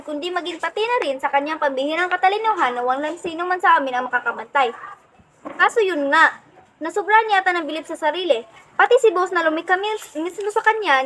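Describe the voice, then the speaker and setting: A woman's voice: extremely high pitch, bright, forceful tone, a little hiss or hum. A woman playing a candid, chatty angel answering an interview in a radio play. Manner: conversational, candid. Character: candid, chatty angel gossiping about a vain rival.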